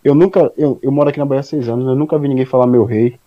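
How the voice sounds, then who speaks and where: Fast-talking man on dull call audio. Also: medium pitch, steady tone, no room sound. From Espírito Santo, Brazil. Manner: blunt, swears casually. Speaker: podcast panelist. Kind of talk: casual podcast chat. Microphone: remote call, low audio.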